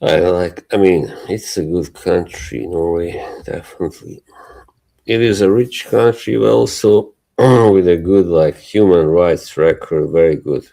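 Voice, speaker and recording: Deep, slow-talking Bosnian-accented man. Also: vocal fry, dark tone, low-quality recording. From Bosnia and Herzegovina. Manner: laid-back, chuckles often, trailing filler words. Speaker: livestream co-host. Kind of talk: casual chat.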